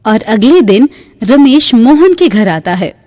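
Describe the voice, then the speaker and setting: Female narrator for children's moral tales: mellow, very measured delivery, reverberant sound. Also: fairly high pitch, sing-song. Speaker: story narrator. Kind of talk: slow scripted moral-story narration for a cartoon. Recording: long reverb.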